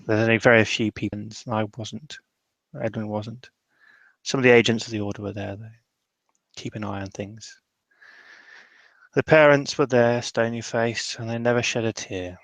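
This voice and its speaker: Creaky low-voiced man narrating haltingly. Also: no room sound. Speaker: roleplaying game player. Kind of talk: improvised descriptive narration.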